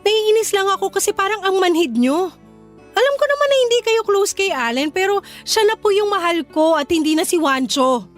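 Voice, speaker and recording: A woman's voice: very high voice, animated, moderate pace. A woman playing a hurt, indignant young woman in a radio drama, scolding and emphatic, with a Filipino accent. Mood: irritated. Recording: music behind the voice.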